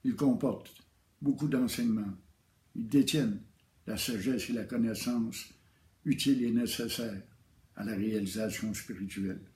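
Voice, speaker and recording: Man, spiritual teacher, warm low-key tone, calm and measured, roomy echo.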